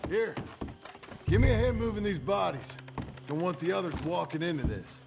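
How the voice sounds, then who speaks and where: An actor playing a worried, regretful American outlaw in a video game, with a gravelly voice and a weary rustic drawl. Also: male voice, high-pitched, expressive, normal speed, heavy background noise. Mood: businesslike. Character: worried, brooding male outlaw gunslinger, regretful father. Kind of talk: video game dramatic dialogue. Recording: loud music, horse hooves behind.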